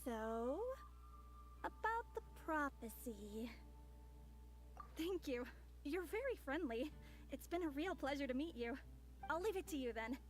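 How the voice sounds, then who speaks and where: Young woman traveler in a game: high-pitched, polite, inquisitive, composed. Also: expressive, unhurried, long pauses, soft background music. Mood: polite, curious. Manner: courteous, gently composed. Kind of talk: video game voice acting.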